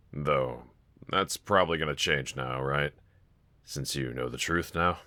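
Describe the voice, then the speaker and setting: A man's voice: a bass voice, pushed and bright, no echo, exaggerated voice. A man voicing a wanted young male villain in a fan audio roleplay, talking to one listener in a casual, sardonic, teasing drawl. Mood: subdued, vulnerable.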